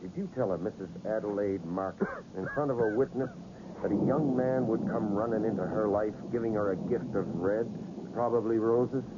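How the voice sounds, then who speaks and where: A man's voice: lowish voice, normal speed, close to an everyday voice. Muffled, noisy old radio drama. Male actor as hard-nosed skeptical cop, mid-range voice, curt and bullying. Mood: brusque, demanding. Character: tough, skeptical plainclothes police detective. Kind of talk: 1980s radio drama acting. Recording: dull, noisy old radio broadcast recording.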